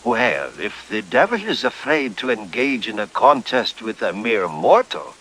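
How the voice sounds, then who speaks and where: A man's voice: lowish voice, very animated, unhurried, a bit bright, heavily acted. A man playing a genteel, droll lord who also narrates a horror-comedy radio play in a courtly, theatrical manner. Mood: challenging.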